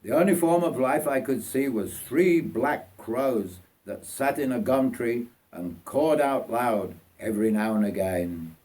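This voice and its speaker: Slow, husky older male storyteller. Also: medium pitch. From North Yorkshire, England. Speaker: writer reading own story. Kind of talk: autobiographical story narration.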